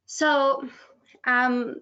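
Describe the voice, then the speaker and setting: A woman's voice: sits high, level tone, very slow, sharp tone. A woman from Argentina, a writer guest, chatting in a webinar interview with plenty of "like" and "um". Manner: chatty, uses "like" and "um" often. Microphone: video call. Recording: dull lo-fi video call audio.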